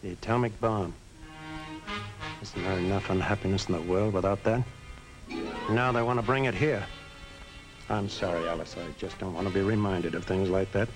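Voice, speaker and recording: An actor playing a sober, principled small-town man in a 1950s film drama, speaking earnestly and deliberately on an old soundtrack. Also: low voice, fairly even intonation, over music, close to an everyday voice, poor audio. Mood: troubled.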